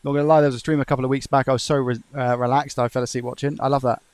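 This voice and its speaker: A soft-spoken man streams his repair work, chatting with viewers at a brisk clip. His voice sits at a middle pitch and comes through dry and close to the microphone, with no room sound around it. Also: no room sound. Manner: calm, chatty, talks to the chat while working. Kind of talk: live-stream chat while working.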